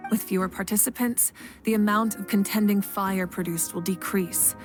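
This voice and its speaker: Composed goddess-ruler, a woman in the role, measured and patient, game cutscene with faint music. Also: mid-range voice, fairly even intonation, close to an everyday voice. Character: calm, authoritative female fire goddess ruler. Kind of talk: game voice acting.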